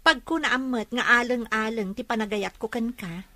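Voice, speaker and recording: A woman's voice: on the high side, moderate pace, bright, forceful tone, no room sound. A young woman with an Ilocano accent playing a defensive, dreamy young sweetheart in a radio drama. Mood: defensive, indignant.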